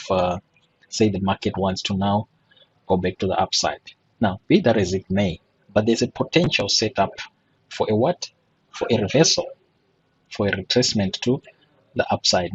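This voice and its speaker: A man with a hoarse, husky voice teaching a forex trading lesson over a chart, checking in with "right?" and asking rhetorical questions. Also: fairly low pitch, dull audio, a little hiss or hum. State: voice still hoarse after a throat infection. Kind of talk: screen-share chart walkthrough lesson.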